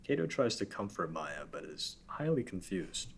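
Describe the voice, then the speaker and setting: A man's voice: deep voice, sing-song, normal speed, gravelly, husky. A man reading the stage directions aloud in a casual table read.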